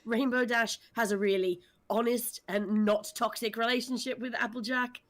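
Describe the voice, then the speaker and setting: A woman's voice: fairly high pitch, steady tone, normal speed, a bit bright. A talkative woman hosting a casual livestream panel, speaking with an emphatic manner. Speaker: host of an audio drama discussion panel.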